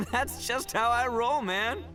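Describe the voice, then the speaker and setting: A man's voice: very high-pitched, takes it slowly. An actor playing a brash, boastful young male oni gang leader who laughs often and talks in slang, in a video game. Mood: proud. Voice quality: loud, rowdy and cheerful. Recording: game audio with music behind.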